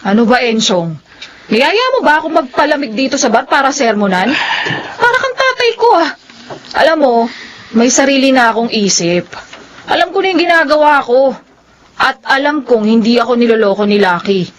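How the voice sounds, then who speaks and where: A woman's voice: high-pitched, big pitch swings, moderate pace. A woman with a Filipino accent acting an outspoken young woman who teases friends and bristles easily in a radio drama. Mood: irritated.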